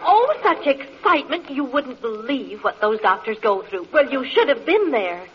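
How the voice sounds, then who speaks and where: An actress playing a chirpy, excitable housewife in a 1940s radio comedy style. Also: female voice, sits high, normal speed, a little hiss or hum. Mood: gushing, excited. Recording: muffled old radio recording.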